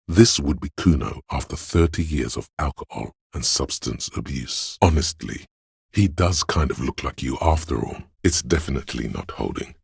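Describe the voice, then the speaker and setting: A man voicing a detective's analytical inner voice for a video game, in precise, measured, dryly observant narration with a gravelly, husky tone. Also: very deep voice, no room sound, clean studio sound, full character voice. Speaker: game voice actor.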